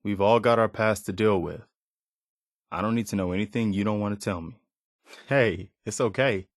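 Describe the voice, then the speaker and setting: A man playing an earnest, weary, idealistic militia leader in a video game, speaking in a measured, sincere way. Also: lowish voice, dry recording, studio quality, close to an everyday voice. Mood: apologetic, gentle. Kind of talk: video game character dialogue.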